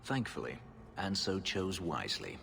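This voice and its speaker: Very deep-voiced brooding fugitive warrior. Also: male voice, big pitch swings, vocal fry, a bit bright, big-room sound, faint music behind, exaggerated voice. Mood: grave, guarded. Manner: measured, formal, grave. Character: brooding escaped male slave warrior, proud, guarded, bitter. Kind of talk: video game voice acting.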